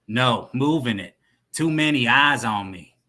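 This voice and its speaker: A man's voice: medium pitch, expressive, unhurried, sharp tone. A man voicing a wary arms dealer with a put-on American cowboy accent and a folksy drawl.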